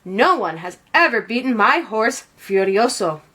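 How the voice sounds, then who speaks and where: A woman's voice: on the high side, very animated, measured pace, sharp tone, full character voice. A woman voicing a pompous, sneering, boastful male horse owner in a storybook.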